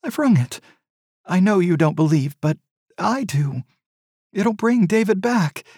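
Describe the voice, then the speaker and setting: A man's voice: sits high, expressive, unhurried, darkish tone, breathy edge, dry recording, studio quality, pitched above the performer's own voice, exaggerated voice. A man voicing a frantic, grieving middle-aged mother who pleads and sobs in an audiobook reading. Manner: pleading, sobbing, desperate.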